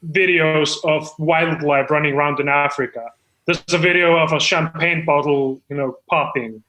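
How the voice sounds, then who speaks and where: A man playing an earnest, defensive amateur screenwriter who rambles haltingly through an improvised comedy table read. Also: on the high side, sharp tone, reverberant, rough recording. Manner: halting, rambling, self-justifying. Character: earnest, defensive amateur screenwriter presenting his own script. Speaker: performer on a comedy podcast.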